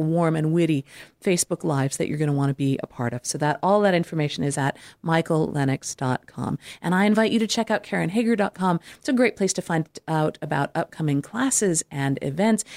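Female show host, lower register, conversational delivery, very dry recording with no room sound. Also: a little mellow, dry recording. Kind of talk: conversational interview hosting.

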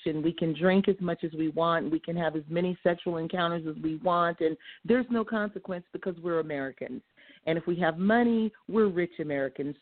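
A soft-spoken woman calling in, giving earnest, rambling commentary with many restarts. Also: fairly low pitch, no echo. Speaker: caller. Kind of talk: call-in commentary. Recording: tinny phone line.

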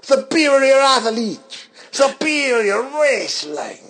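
A man's voice: very high-pitched, very animated, slow, vocal fry, fairly bright tone, pitched above the performer's own voice, heavily acted. A man doing a boastful Russian heel wrestler. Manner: put-on Russian accent, broken English, grandiose threats. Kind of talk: mock wrestling promo.

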